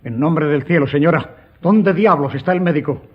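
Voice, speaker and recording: An actor playing an agitated, imperious gentleman of a grand house in a radio drama, with a husky voice. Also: a little high, moderate pace. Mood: urgent, demanding. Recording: dull lo-fi sound.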